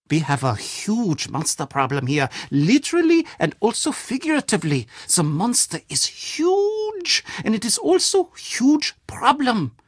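Game role: self-important German burgomaster. High, unhurried, emphatic, fussy and excitable. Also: male voice, no room sound, studio quality, heavily acted. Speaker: castle Bürgermeister. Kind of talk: video game character acting.

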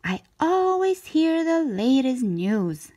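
A woman voicing a restless cartoon shape from a children's storybook, with emphatic, drawn-out words. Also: high-pitched, sing-song, warm tone, voice pushed up, full character voice. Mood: eager, pleased. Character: restless, dissatisfied cartoon shape, eager for more.

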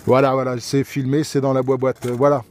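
A man chatting casually over a handheld radio with other operators, relaxed and joking, recorded outdoors. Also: medium pitch, fairly quick, a little hiss or hum. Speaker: radio amateur filming his contact. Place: outdoors in a garden.

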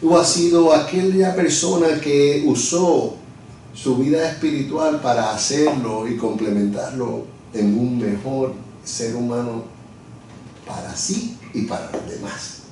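A man's voice: medium pitch, fairly even intonation, unhurried, mellow, a little hiss or hum. A middle-aged man being interviewed in an office, explaining his views thoughtfully and often searching for words with restarts. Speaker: interview guest, lawyer and communications director. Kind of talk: reflective conversational explanation. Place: office, seated at a desk. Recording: echoey room from far off.

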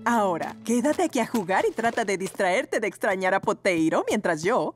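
A woman voicing a warm, playful pug mother in a children's cartoon, affectionate and singsong. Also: medium pitch, expressive, moderate pace, lightly acted. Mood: caring. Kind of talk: animated children's cartoon dub. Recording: music behind the voice.

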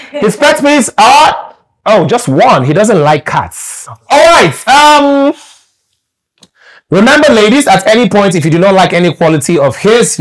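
Animated, playful dating show host. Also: male voice, sits high. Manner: animated, playful, jokes and hypes the contestants. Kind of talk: lively game show hosting.

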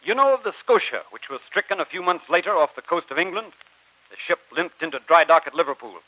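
An actor playing a stern, resolute navy commodore with an authoritative, declamatory manner in old-time radio drama. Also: male voice, a little high, expressive, fast talker, sharp tone, dry recording. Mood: grave. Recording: muffled dry old radio recording.

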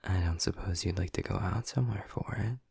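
A man's voice: low-pitched, fairly quick, vocal fry, slightly rough, no room sound, exaggerated voice. A man playing a flirty, whispering vampire. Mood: teasing. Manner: soft, intimate whispering; teasing and affectionate. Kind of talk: ASMR audio roleplay.

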